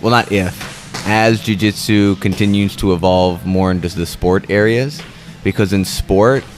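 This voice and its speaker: Low-voiced relaxed podcast co-host man. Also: a little slow, faint music behind. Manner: relaxed, explains at length, some restarts. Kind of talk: casual chat.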